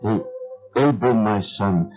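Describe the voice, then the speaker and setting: Male actor as elderly gentle father, weary, hesitant, very animated pitch, dull retro recording. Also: takes it slowly, soft background music. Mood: weary, wistful. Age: old. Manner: hesitant, rambling, with repeated words. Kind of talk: biblical radio drama acting.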